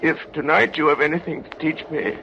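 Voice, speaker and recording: A man's voice: on the high side, fairly even intonation, fairly quick, creaky. A man playing a humbled, fearful old miser in a vintage radio drama, speaking earnestly and pleadingly. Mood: humble, pleading. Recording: muffled, noisy vintage radio recording.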